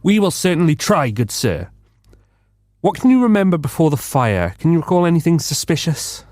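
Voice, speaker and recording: A man's voice: a little high, sing-song, moderate pace, a little mellow, no room sound, studio quality. A man playing a pompous, bumbling detective. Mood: inquisitive. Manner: pompous, eager. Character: bumbling, self-important, easily distracted male London detective. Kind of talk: comedic radio drama acting.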